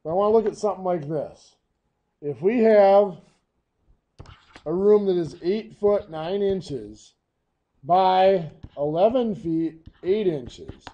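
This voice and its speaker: A hoarse, coughing man teaching a math lesson, walking through each step patiently. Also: high voice, mellow, muffled recording.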